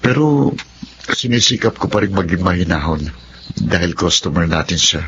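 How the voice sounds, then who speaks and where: A man's voice: sits low, animated, moderate pace, background noise. A man with a Filipino accent acting an earnest, polite young working student in a radio drama. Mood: polite, firm. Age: young adult. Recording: muffled radio drama, music bed underneath.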